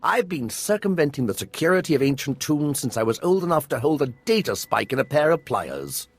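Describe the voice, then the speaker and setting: An actor playing a giddy, scholarly tomb-raiding archaeologist in a video game, effusive and theatrical in manner. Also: male voice, on the high side, sing-song, fairly quick, slightly rough, heavily acted. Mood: eager excitement. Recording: music behind the voice.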